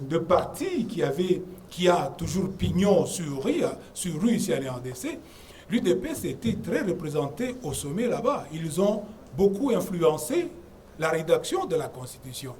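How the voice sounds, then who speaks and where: A man's voice: sits high, a little mellow. A man arguing his case at a conference debate, emphatic and lecturing, often repeating words as he presses a point. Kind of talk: argued public talk on the constitution. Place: conference room. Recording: distant mic with faint room noise.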